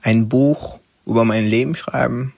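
A young man from Afghanistan, giving a halting personal statement in simple phrasing. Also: medium pitch, steady tone, gravelly, darkish tone, no echo. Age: young adult.

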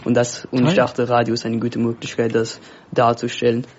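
A man's voice: mid-range voice, completely flat delivery, fairly quick. Nervous man from Afghanistan on radio. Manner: nervous, hesitant, many fillers. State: excited and nervous. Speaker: guest presenter. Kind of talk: casual radio talk. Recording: dull lo-fi radio sound.

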